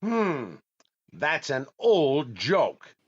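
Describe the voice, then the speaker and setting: A man's voice: on the high side, wildly swooping pitch, extremely slow, slightly rough, muffled recording, full character voice. An actor playing a fussy, hesitant male shopper who cannot decide, in the style of old-time radio comedy. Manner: hesitant, dithering. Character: fussy, indecisive, penny-careful male shopper.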